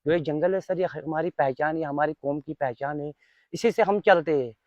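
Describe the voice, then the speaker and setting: A man's voice: a little high, moderate pace, darkish tone, no room sound, lo-fi sound. A young man with a Kashmiri accent giving unscripted interview answers, earnest and emphatic, often repeating his phrases. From Kashmir, India. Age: young adult. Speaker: volunteer being interviewed.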